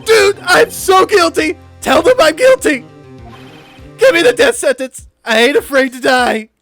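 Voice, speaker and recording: A performer voicing a panicked, melodramatic young male defendant in a video game, wailing and shouting in despair. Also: high voice, lively intonation, a little slow, soft background music, voice pushed up, full character voice. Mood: hysterical despair.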